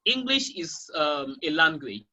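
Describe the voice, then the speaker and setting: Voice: mid-range voice, sing-song, measured pace, pushed and bright, lo-fi sound. A teacher from Nigeria with a Nigerian accent, a Yoruba speaker, answering interview questions emphatically and repeating key phrases over a video call. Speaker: guest teacher of English.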